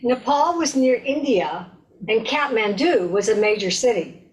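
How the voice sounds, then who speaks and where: A woman's voice: a little high, lively intonation, unhurried, low-quality recording. A woman narrating a scripted short story aloud as a reader in a readers theater.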